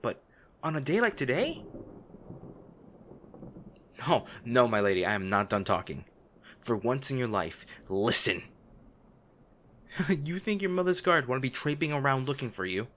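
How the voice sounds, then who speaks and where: A man acts out a noble lady's bodyguard in a fantasy audio roleplay. He is a man with a medium-pitched voice, blunt and exasperated. His delivery is emotional and protective, swinging between scolding and anxious care. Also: fairly bright tone. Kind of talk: dramatic fantasy audio roleplay addressed to the listener.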